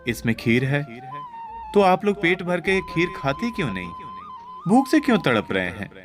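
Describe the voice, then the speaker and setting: A man's voice: high-pitched, wildly swooping pitch, normal speed, pitched above the performer's own voice. A man voicing a kindly, curious elderly woman who asks polite questions in a moral tale. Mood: astonished. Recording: background music under voice.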